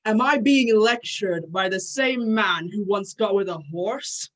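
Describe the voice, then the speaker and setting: A proud thunder god played by an amateur reader, grand and archaic in speech and gruff in temper. The voice sits in a middle register, in the manner of a dramatic myth reading. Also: mid-range voice, a little slow, natural voice, low-quality recording. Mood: dry, stern. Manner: formal, archaic, grand phrasing.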